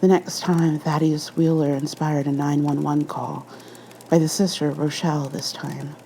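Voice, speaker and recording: A woman's voice: low-pitched, fairly even intonation, unhurried, gravelly, dark tone, slight background noise, poor audio. A woman reading a scripted horror story as an ASMR narrator.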